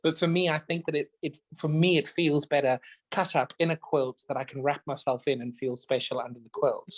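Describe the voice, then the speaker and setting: An effusive man from Zimbabwe co-hosting a relaxed podcast chat, his voice sitting a little high for a man. He tells long stories with open enthusiasm. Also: a little fast, rough recording. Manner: warm, effusive storyteller. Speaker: podcast co-host. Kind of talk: casual chat.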